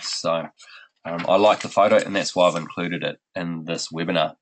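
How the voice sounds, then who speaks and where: A middle-aged running coach with a New Zealand accent, blocked up with a head cold, giving a webinar in an unhurried, friendly way with many ums. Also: male voice, deep voice, steady tone, a little hiss or hum, poor audio. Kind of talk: webinar presentation.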